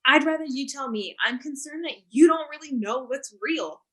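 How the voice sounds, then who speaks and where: An actress playing an earnest, accusing activist who challenges a talk show host, in a staged play reading on a video call. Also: female voice, sits high, moderate pace, lightly acted. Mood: insistent. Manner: accusing, insistent.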